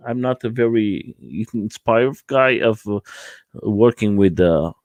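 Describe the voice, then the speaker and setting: Portuguese man, halting speech, dry sound. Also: on the low side, darkish tone, no room sound. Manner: hesitant, many uh fillers, laughs easily. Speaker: livestream host. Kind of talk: casual chat.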